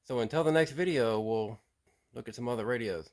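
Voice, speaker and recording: A man hosting a casual show-and-tell about radio gear, relaxed and plain-spoken, with frequent pauses and repeated words. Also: mid-range voice, slight background noise. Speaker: radio hobbyist host.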